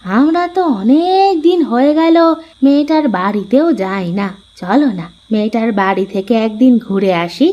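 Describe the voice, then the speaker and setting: A woman voicing an elderly village wife in a cartoon, fond and coaxing, with some gentle nagging. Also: fairly high pitch, animated, normal speed, dull audio, natural voice. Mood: wistful, agreeable. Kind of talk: Bengali cartoon voice acting.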